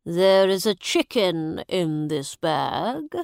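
A woman voicing a stern, pompous male judge with a gravelly voice in a storybook. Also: on the low side, very animated, slow pace, dry recording, clean studio sound, full character voice. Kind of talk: storybook character dialogue.

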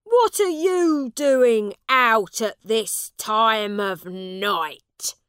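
A big, angry man barks and scolds, as a woman reading puts him on, without lowering her voice much. The delivery is very slow and heavy. The sound is close and dry. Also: lively intonation, smooth, no room sound. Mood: scolding. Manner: loud, scolding. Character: big angry grown man, gruff beggar's father.

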